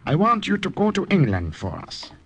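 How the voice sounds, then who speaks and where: A smooth, scheming German officer in vintage radio drama. His pitch swoops very widely, over a faintly noisy old recording. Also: huge pitch swings, moderate pace, exaggerated voice. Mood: persuasive. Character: male German military commander, smooth and calculating. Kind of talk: 1940s-50s radio drama acting.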